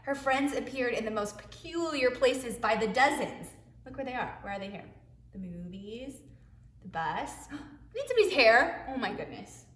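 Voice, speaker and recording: A woman, a preschool teacher, reading a picture book aloud to young children in an expressive way, with hushed asides. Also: on the high side, normal speed. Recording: echoey room.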